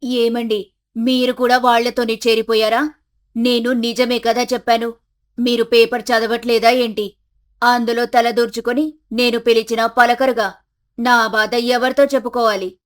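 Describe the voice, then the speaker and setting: Woman acting older mother-in-law, animated story, high pitch, complaining, indignant, self-important, village character. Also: a little slow, lightly acted.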